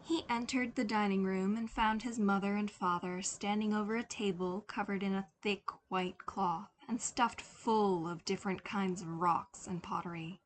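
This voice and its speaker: A woman's voice: medium pitch, steady tone, normal speed, few pauses. A woman giving a scripted narration of a fiction story as a podfic reader. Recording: faint background noise.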